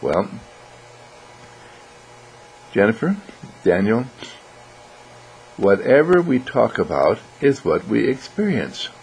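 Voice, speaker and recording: A man voicing Mister Candle, a kindly talking candle who tells children bedtime stories in a gentle, reassuring, deliberate way. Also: on the low side, big pitch swings, vocal fry, lo-fi sound, slight background noise, full character voice.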